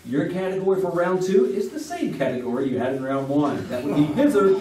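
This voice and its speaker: A man plays a polished 1950s radio announcer with a crisp, formal delivery. His fairly high voice rises and falls in a lively way. The sound is distant and echoey, like a theater stage. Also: fairly quick. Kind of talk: formal game show announcing.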